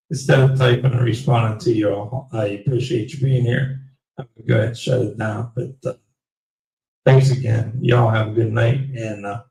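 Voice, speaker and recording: A man closing out his live stream in a rambling, halting way, his voice going raspy. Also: mid-range voice, a little mellow, roomy sound, distant mic, rough recording. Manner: rambling, slow and halting with many fillers. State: voice getting raspy. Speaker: livestream host. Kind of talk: casual live stream sign-off.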